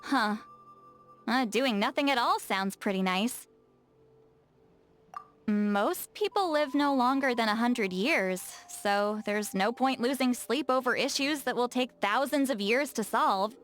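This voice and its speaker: A woman voice acting a touchy, lazy, bookish young-seeming shaman in a video game, expressive and defensive, with hesitant asides and self-corrections. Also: a little high, measured pace, faint music behind. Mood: languid, philosophical. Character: touchy, lazy, bookish female shaman, youthful but secretly very old.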